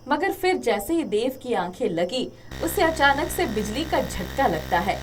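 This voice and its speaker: A woman reads a moral tale as its narrator in a scripted storytelling style. The recording has clear noise, with birds chirping behind her. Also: fairly high pitch, very animated, a little slow.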